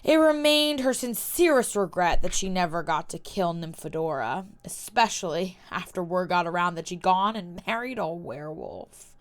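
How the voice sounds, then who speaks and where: A woman voicing a cold, taunting young male wizard in a story reading, speaking with quiet, measured menace. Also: lowish voice, close to an everyday voice. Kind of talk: reading a fan story aloud.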